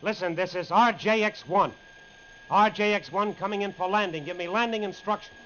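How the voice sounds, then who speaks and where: An actor playing a shaken, insistent air force major and test pilot in an old-time radio drama, speaking with urgency. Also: male voice, sits high, normal speed, pushed and bright. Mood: urgent. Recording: muffled, faintly noisy old radio recording.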